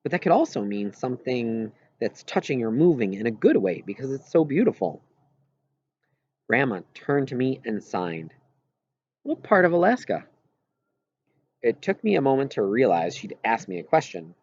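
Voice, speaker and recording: A man with a husky voice reads a first-person novel aloud expressively, with halting pauses mid-phrase. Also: mid-range voice, sing-song, a little mellow, no room sound, lo-fi sound. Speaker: audiobook reader.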